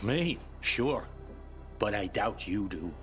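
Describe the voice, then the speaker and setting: Music sits behind a grumpy older recluse in a video game. His low voice moves around a lot, sardonic and bitter as he grumbles. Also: lively intonation, slow, a bit bright. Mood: grumbling. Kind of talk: video game cutscene acting.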